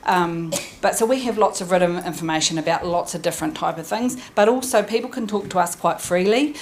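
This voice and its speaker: Chatty New Zealand woman, low voice. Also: a little fast, a little hiss or hum. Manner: chatty, self-deprecating and informal. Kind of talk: panel presentation. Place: conference room, audience present. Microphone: handheld mic.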